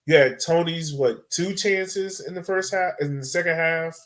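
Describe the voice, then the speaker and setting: Chatty man with a higher-pitched voice. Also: a little slow, fairly bright tone. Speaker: sports podcast host. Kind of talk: casual match analysis chat.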